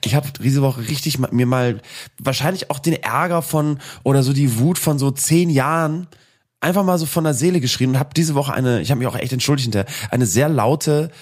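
A man co-hosting a casual podcast chat, hoarse today, talking in halting bursts with many fillers. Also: medium pitch. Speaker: podcast co-host.

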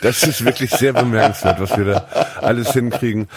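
A man's voice: mid-range voice, a little fast, noisy background. A man co-hosting a comedy podcast, telling rambling stories with wry humor while he has a cold. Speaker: podcast co-host. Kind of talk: casual comedic chat.

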